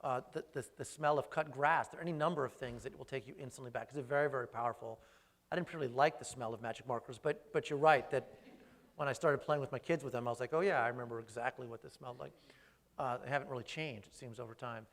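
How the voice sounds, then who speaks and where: A man's voice: medium pitch, fast talker, a bit bright. A man who grew up speaking Greek first, a researcher answering audience questions after a talk in a thoughtful, hesitant way with frequent restarts. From raised speaking Greek first. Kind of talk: live Q&A after a lecture.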